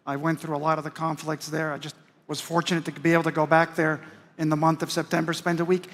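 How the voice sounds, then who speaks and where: High-pitched male executive, conversational, echoing conference stage. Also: level tone, quick pace, fairly bright tone. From United States. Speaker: panelist, business executive. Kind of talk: panel discussion. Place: large conference hall stage. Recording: long hall reverb.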